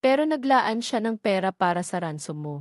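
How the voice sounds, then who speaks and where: A woman's voice: mid-range voice, expressive, moderate pace, a little mellow, dry recording, studio quality, natural voice. A woman with a Filipino accent voicing a loyal male aide in an audio drama, plain-spoken and earnest. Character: loyal male aide defending his boss.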